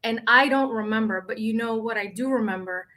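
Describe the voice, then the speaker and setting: A woman's voice: a little high, fairly quick, bright, forceful tone. A middle-aged woman from the Dominican Republic, a guest in a casual interview, emotional and laughing often.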